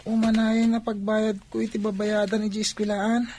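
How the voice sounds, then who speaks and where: Casting: performer as hard-up, earnest pupil, Filipino radio drama, mellow and gently plaintive. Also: mid-range voice, level tone, normal speed, natural voice. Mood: casual, dutiful. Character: earnest, hard-up schoolchild pleading with mother.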